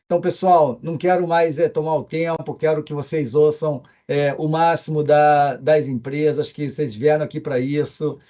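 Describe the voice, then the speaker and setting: A man with a Carioca accent, a company co-founder, speaking remotely over a video call to open an event, pausing often on fillers. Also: fairly high pitch, fairly even intonation, dark tone, lo-fi sound. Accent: Carioca Portuguese. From Brazil. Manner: hesitant, with frequent fillers. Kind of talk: presentation remarks.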